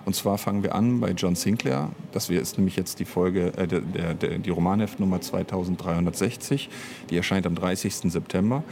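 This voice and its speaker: Low-voiced man with background noise. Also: fairly quick, vocal fry, darkish tone. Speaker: interviewed audio drama author and director. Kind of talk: casual interview talk.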